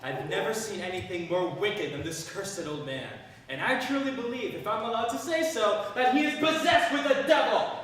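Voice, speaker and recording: A man's voice: sits high, moderate pace, close to an everyday voice. A man acting a cheeky, grumbling young manservant in a stage farce, with insolent backtalk and muttered asides. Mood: indignant, muttering. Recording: echoey room, distant mic, noisy.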